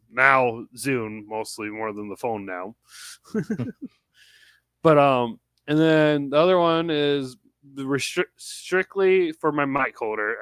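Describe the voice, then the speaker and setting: Man with a bright, dry-sounding voice. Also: mid-range voice, smooth, no room sound. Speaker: podcast panelist. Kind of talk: casual chat about truck gear.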